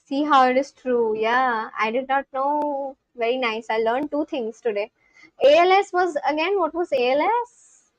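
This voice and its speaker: A young woman with a Delhi Indian English accent, chatting casually with her livestream viewers, reading their comments aloud with quick apologetic asides. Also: sits high, dry recording, rough recording. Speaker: live streamer.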